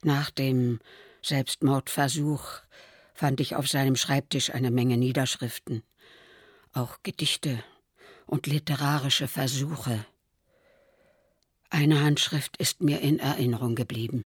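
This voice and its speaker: An older woman playing an aging mother who soberly recalls her troubled son in a radio play, her voice low, husky and creaky. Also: steady tone, takes it slowly, pauses often, no echo, studio quality, heavily acted. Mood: grave.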